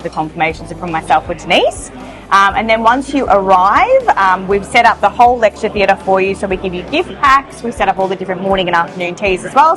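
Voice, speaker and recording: Bubbly, loud woman. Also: medium pitch. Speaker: training manager. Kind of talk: interview answers. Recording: music bed under the talk.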